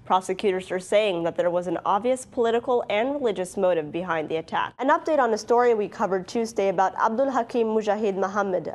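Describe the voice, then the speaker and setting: A woman presenting the news, reading scripted reports in a formal, clearly worded delivery. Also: medium pitch, darkish tone.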